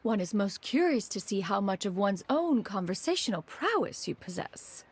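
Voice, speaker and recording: A woman's voice: mid-range voice, sing-song, moderate pace, slightly rough. A woman voicing a proud, ancient crane spirit in a video game, formal and pompous, speaking of herself in the third person. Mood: haughty. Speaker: game character.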